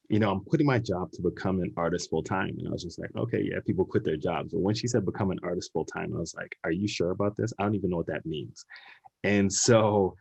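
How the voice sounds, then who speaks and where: A man from Canada speaking as a founder on a webinar panel, telling his story in a relaxed, anecdotal way. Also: sits low, vocal fry, dry recording. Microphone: video call.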